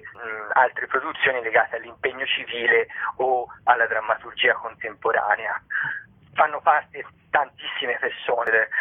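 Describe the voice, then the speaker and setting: Guy, theatre director on a tinny phone call, husky voice, explains stuff with pauses. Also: medium pitch. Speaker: theatre director, interview guest. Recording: tinny, muffled telephone line.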